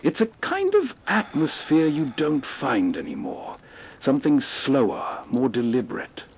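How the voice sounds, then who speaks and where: A man's voice: a little high, wildly swooping pitch, a little slow, breathy edge. A man hosting a nostalgic radio show with reflective, scripted late-night narration. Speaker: host of old-time radio show.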